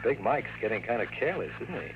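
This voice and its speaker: An actor playing a wisecracking, lonely private detective who also narrates, in a 1950s radio drama style. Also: male voice, mid-range voice, very animated, fast talker, dull audio, natural voice. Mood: wry, narrating. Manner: sardonic, quipping. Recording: vintage 1950s radio recording with music.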